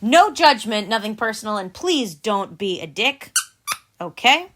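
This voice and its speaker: A woman's voice: mid-range voice, big pitch swings, a bit bright. A woman in her forties co-hosting a casual podcast with her husband, bubbly and playful. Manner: bubbly, playful, quick to laugh. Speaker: podcast co-host. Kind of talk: casual chat.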